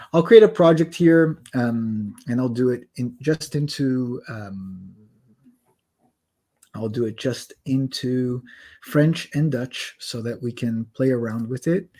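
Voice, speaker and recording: A man hosting a live online session, chatting casually and self-deprecatingly as he demos translation software. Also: medium pitch. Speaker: host demoing translation software. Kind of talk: casual live demo talk.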